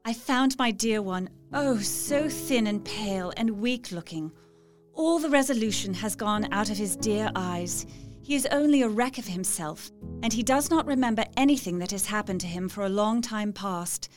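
A woman's voice: medium pitch, normal speed, close to an everyday voice. An actress playing a devoted young Victorian woman reading out her letter in an audio drama, earnest, tender and confiding. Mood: tender worry. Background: music.

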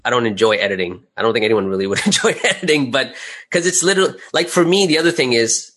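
A man's voice: medium pitch, animated, fast, a bit bright. A middle-aged man talking casually as an interview guest, in a chatty way peppered with "you know" and "right?". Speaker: guest, audio drama creator.

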